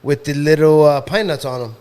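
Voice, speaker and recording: A man's voice: fairly high pitch, steady tone, a little fast, a little mellow. Fields: easygoing man, food truck guest. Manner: easygoing, hesitant with fillers. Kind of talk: casual chat.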